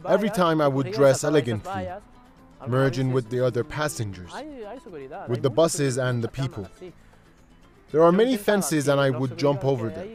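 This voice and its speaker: A man's voice: medium pitch, natural voice. A man reading the translated testimony of a young Moroccan migrant man as a documentary voice-over. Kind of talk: translated first-person documentary narration. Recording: music under the voice.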